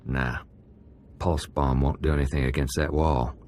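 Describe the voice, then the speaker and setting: A man playing a tough, laconic, suspicious prison gang convict in a game, gruff, clipped and wry. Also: rumbling low, steady tone, moderate pace, darkish tone, slight background noise. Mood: wary, blunt. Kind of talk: game cutscene voice acting.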